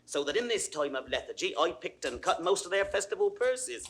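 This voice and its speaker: A man's voice: sits high, a little fast, exaggerated voice. An actor playing a boastful, gleeful pickpocket pedlar in a theatrical classical Shakespearean style, telling his tale with gloating relish. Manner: theatrical, gloating, animated storytelling. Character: boastful male roguish pedlar and pickpocket, gleeful con man. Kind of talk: classical Shakespearean stage acting, 1960s audio recording.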